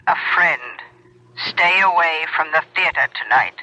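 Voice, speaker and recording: An actor playing a mysterious anonymous caller, terse and insistent, through a telephone filter in a 1970s radio drama. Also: male voice, on the high side, unhurried, bright, forceful tone, highs cut off. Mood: ominous, insistent. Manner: urgent, terse.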